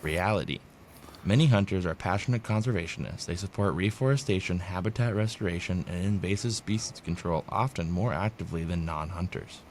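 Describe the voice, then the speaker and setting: A man co-hosting a casual podcast, reading points aloud plainly and chiming in with quick agreement. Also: low-pitched, a little hiss or hum. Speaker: podcast co-host.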